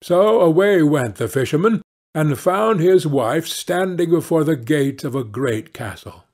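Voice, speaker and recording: A man narrating a fairy-tale audiobook in a measured, expressive storytelling manner. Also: on the high side.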